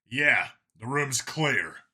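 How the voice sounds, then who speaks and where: A man voicing a gruff, decisive male cop who leads an armed squad in an audiobook, speaking tersely. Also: mid-range voice, lively intonation, drawn-out words, pushed and bright, full character voice. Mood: terse, steady. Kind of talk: audiobook character voice.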